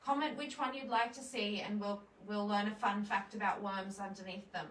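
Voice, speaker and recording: A woman's voice: medium pitch, steady tone, normal speed, a bit bright. A woman presenting a children's storytime, explaining things simply and putting questions to young viewers. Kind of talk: children's storytime with songs and read-alouds. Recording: roomy echo, distant microphone.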